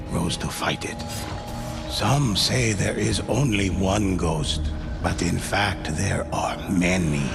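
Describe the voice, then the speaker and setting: A low-voiced old man tells legends of ghost warriors for a video game. His delivery is solemn and dramatic, slow and measured, with a heavy creak in the voice. Music runs clearly underneath. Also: exaggerated voice. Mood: solemn, ominous. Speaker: narrator. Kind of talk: scripted storytelling narration for a video game.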